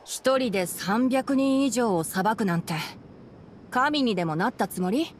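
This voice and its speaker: Cool, high-voiced young man. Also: expressive, measured pace, slight background noise. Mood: reproachful. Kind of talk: Japanese anime game voice acting.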